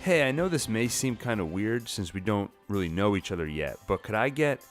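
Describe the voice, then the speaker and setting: A man's voice: fairly low pitch, very animated, a little fast. A man voicing a friendly, playful teenage schoolboy in a comic recap, casual and teasing. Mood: amused, friendly. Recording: music bed behind the voice.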